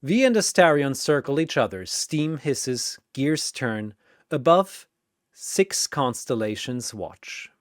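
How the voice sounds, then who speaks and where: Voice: medium pitch, very animated, slow, no room sound, studio quality. A narrator reading scripted fiction in a dramatic storytelling style.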